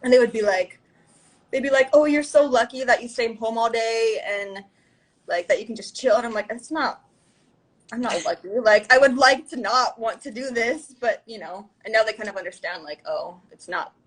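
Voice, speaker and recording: A woman's voice: on the high side, moderate pace, rough recording. A young adult woman talking as a guest in a casual interview, rambling with lots of "like" and "you know". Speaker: guest musician.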